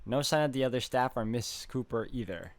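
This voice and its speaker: A man playing through a visual novel and reading its narration aloud in an expressive storytelling manner. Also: mid-range voice, fairly quick, no room sound. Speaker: video game walkthrough player. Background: game music.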